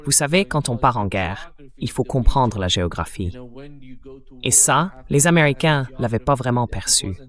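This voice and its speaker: A man's voice: medium pitch, lively intonation, normal speed, fairly bright tone. A man speaking as an interviewer in a translated voice-over of a political discussion.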